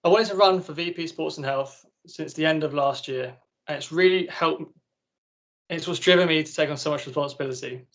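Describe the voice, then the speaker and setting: A man's voice: on the high side, a little fast, a bit bright. A young man delivering a formal, prepared campaign speech as a student election candidate. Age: young adult.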